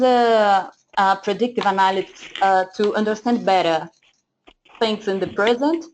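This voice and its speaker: A woman's voice: medium pitch, a little mellow, a little hiss or hum, low-quality recording. A woman with a Portuguese accent giving a lesson over shared slides, speaking hesitantly with many fillers and restarts. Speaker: data analytics trainer. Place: screen-share presentation, voice off camera.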